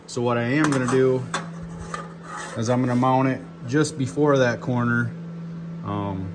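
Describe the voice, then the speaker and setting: A man's voice: medium pitch, steady tone, a little slow. Casual man talking over workshop noise. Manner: plainspoken, informal, thinking aloud. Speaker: car builder hosting a fabrication video. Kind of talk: casual hands-on shop walkthrough. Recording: noisy handheld shop video, ambient noise.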